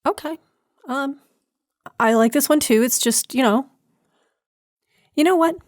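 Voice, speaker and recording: Slow-paced, roomy-sounding woman podcast host. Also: high voice, a little mellow, studio quality. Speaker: podcast creator and host, playing herself. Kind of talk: informal podcast talk in an audio drama.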